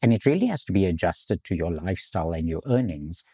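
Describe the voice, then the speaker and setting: Deep husky guy from south africa explaining insurance, measured, dry mic. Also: big pitch swings, dark tone, no echo. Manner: measured, explanatory, some restarts. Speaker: insurance executive guest. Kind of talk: explaining in an interview.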